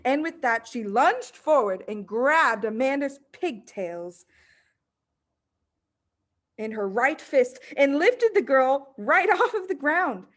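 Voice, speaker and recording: Expressive, higher-voiced woman reading a children's story. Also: slow pace. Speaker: audiobook reader.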